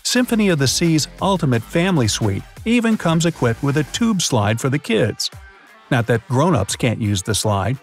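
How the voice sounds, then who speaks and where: A man's voice: mid-range voice, big pitch swings, moderate pace. A man reading a scripted explainer narration, dropping in playful jokes now and then. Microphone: studio voice-over. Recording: faint background music.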